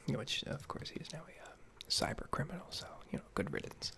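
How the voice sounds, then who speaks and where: A man giving a halting, rambling ASMR gaming update in a hushed, breathy, mostly whispered voice, slightly nasal from getting over an illness. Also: a little high, very animated. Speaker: gaming ASMR video creator.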